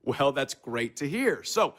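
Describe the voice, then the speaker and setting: A man's voice: mid-range voice, sing-song, takes it slowly, fairly bright tone. A man acting in a naturalistic audio drama as an abrasive, sarcastic, domineering fixer who swears freely. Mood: slick, hostile. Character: abrasive, bullying, foul-mouthed money-minded fixer named Max.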